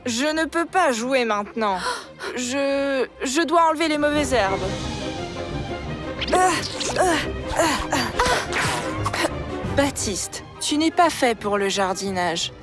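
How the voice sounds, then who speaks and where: A woman's voice: sits high, lively intonation, slow, long pauses, music in the background. A woman voicing a boastful but secretly unsure youngster in a children's cartoon, with a hesitant manner. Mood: flustered, sheepish. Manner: hesitant, evasive. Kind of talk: children's animated series dubbing.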